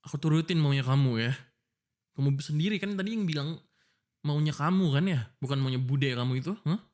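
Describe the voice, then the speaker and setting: A man's voice: on the high side, a little fast, darkish tone. A young man with an Indonesian accent, voicing a frustrated, pleading boyfriend in an ASMR roleplay.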